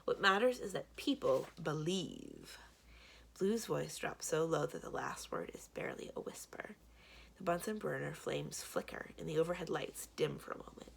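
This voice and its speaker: A woman reading a children's book aloud as expressive scripted narration. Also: sits low, normal speed, vocal fry, husky. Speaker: library read-aloud reader.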